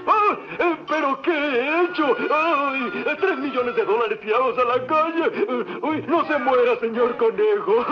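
A man playing a grumpy, gullible little bald schemer in a Latin American Spanish cartoon dub, excitable and theatrical. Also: extremely high pitch, slow, hardly any pauses. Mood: panicked, frantic. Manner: excitable, swinging from sly to pleading. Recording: dull old cartoon soundtrack with music.

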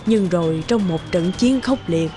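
A woman voicing a gentle, earnest storyteller recounting family history in an animated tale. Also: on the low side, normal speed, natural voice. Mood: solemn, tender. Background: prominent music.

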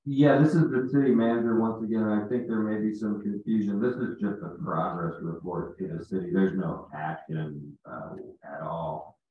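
A man with a low voice gives a measured, diplomatic explanation as a city manager. His delivery is flat, and the sound is thin and phone-like with heavy echo. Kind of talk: formal explanation at a public meeting.

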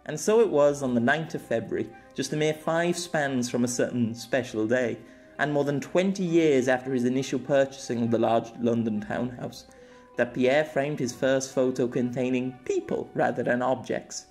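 A man's voice: medium pitch, normal speed, darkish tone, faint music behind. A man reading scripted story narration expressively as an amateur audiobook narrator.

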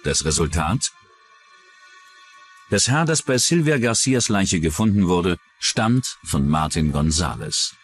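A man with a very low voice narrates a true-crime documentary. His delivery is scripted and varies noticeably in pitch, with faint music behind him. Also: sing-song, slow.

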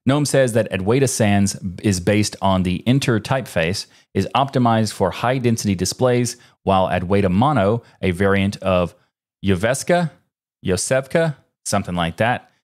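A man's voice: on the low side, expressive, moderate pace, a little mellow. A man in his thirties presenting a casual scripted tech explainer to camera, conversational with chatty personal asides, on a close studio mic. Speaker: tech video host. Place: small indoor home studio. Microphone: close broadcast mic on boom arm.

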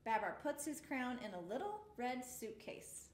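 High, lively woman reading a storybook aloud. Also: normal speed, reverberant. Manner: expressive, exclamations for the story. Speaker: storytime reader. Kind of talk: reading a picture book aloud to young children. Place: small indoor studio with a rainbow mural.

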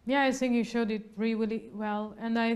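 A young woman interviewing a guest in a small indoor studio on a lapel mic, chatty with many fillers, trailing off softly at the ends of her lines. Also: fairly high pitch, steady tone, a little fast, clear, resonant tone. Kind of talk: festival interview.

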